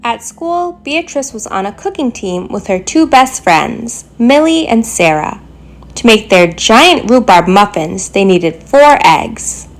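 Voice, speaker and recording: Female storybook reader for young children; slow, highly expressive delivery over soft music. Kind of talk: expressive children's bedtime story read-aloud.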